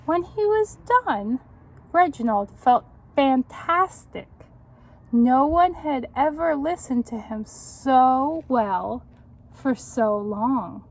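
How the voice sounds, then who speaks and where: A woman reading a children's picture book aloud in an expressive, encouraging, teacherly way, pausing to put questions to young listeners. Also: high-pitched, rough recording.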